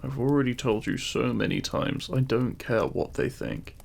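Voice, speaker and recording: Man playing a gravelly, devoted demon king lover. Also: mid-range voice, big pitch swings, moderate pace, darkish tone, heavily acted. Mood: earnest, adoring. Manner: tender, formal, courtly phrasing. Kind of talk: intimate romantic audio roleplay, ASMR.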